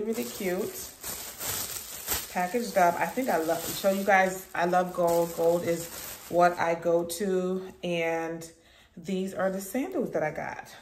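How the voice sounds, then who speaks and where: A woman, chatting informally to viewers in a home vlog and often repeating her phrases. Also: lowish voice. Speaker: lifestyle vlogger. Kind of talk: casual vlog chat to viewers. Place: rooms at home, indoors. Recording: roomy echo, background noise.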